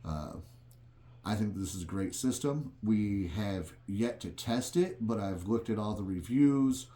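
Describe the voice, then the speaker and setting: Mellow, low-voiced man, roomy sound. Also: measured pace. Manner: conversational, plain-spoken. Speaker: product reviewer and host. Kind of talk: casual unboxing review for a vlog. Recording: roomy, echoing room sound.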